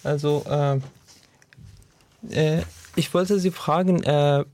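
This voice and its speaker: A man interviewing a guest on the radio, speaking haltingly with many fillers. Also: fairly high pitch, steady tone, darkish tone.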